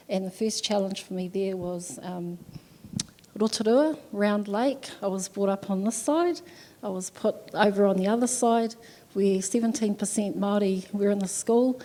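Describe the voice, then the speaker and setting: A New woman speaks as a panelist, proud and reflective, in short bursts. Her voice is mellow and sits in the middle of the range. A long reverb trails it through the hall. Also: mid-range voice, a little hiss or hum. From New Zealand. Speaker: panelist, former primary teacher. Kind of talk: panel talk, opening with a formal greeting in te reo Māori. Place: conference hall, seated on a sofa.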